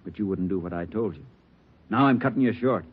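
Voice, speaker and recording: Menacing hostage-taker on muffled old radio. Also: male voice, expressive, fairly quick, slightly rough, exaggerated voice. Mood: impatient, threatening. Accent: American. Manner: curt, bullying, slangy commands. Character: crazed, menacing male criminal bomber holding police hostage. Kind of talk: 1940s radio crime drama acting. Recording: muffled, noisy 1940s radio recording, rumble.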